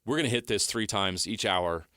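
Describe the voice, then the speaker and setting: Male sports radio host, low pitch, sharp edge, dry booth sound. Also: normal speed, no echo. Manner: steers the talk, reads sponsor copy. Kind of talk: sports radio talk and ad reads.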